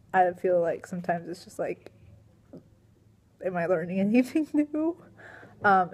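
A sleepy young woman talks to her laptop camera from a small classroom, her voice a touch low and a little worn from teaching. She rambles in a loose, filler-filled way, sighing now and then. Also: darkish tone. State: tired and sleepy; strained voice. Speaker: middle school science teacher. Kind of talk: casual video diary. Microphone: laptop webcam at desk.

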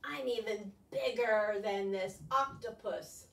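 A woman voicing a boastful, gleeful giant squid from a storybook, with loud drawn-out proud declarations. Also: mid-range voice, gravelly, pitched below the performer's own voice. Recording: roomy, distant microphone, faint noise.

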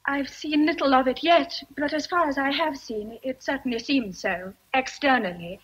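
An actress playing a composed, forthright young governess in a radio drama, with a breathy voice. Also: female voice, sits high, moderate pace, fairly bright tone, highs cut off. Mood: polite, firm. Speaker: actor.

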